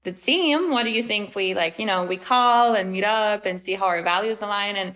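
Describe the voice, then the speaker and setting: A young woman from Romania speaks as a guest on a podcast, answering questions casually and earnestly. She pauses now and then with small fillers as she gathers her thoughts. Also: mid-range voice, a bit bright. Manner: earnest, a little hesitant, many fillers.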